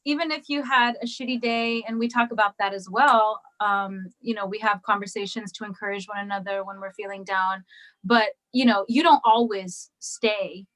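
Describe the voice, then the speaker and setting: A woman's voice: mid-range voice, steady tone, moderate pace, a bit bright, poor audio. A woman singer as a guest, talking casually in an interview with frequent ums and restarts. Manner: hesitant, many ums and restarts.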